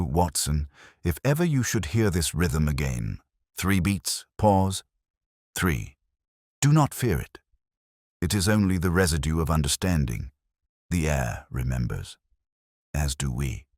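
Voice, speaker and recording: A man voicing a calm, philosophical gentleman detective in an audiobook, speaking deliberately and with quiet reason. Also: a bass voice, dry recording, studio quality, exaggerated voice. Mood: grave, reassuring. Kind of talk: audiobook character dialogue.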